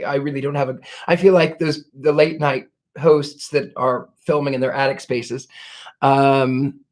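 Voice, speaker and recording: A man's voice: a little high, darkish tone. Genteel man reading live chat aloud. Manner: genteel and flowery, calls viewers darling; halting, many restarts and fillers. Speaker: psychic answering viewer questions. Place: seated at a wobbly table indoors.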